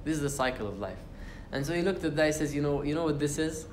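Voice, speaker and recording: A man's voice: on the high side, animated, quick pace, echoey room, slight background noise. A young man giving an informal class on the Quran, talking casually with fillers and restarts and reciting Arabic verses. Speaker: Quran study teacher. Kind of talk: informal tafsir class talk. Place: university lecture room.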